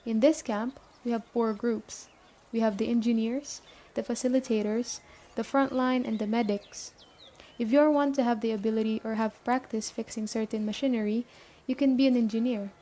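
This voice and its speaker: A woman acts out a stern yet caring female leader of an apocalypse survivor camp. Her voice sits a little high but is very mellow and soft-edged. She talks to the listener directly in a brisk, matter-of-fact ASMR roleplay style. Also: fairly even intonation, natural voice. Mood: businesslike, earnest.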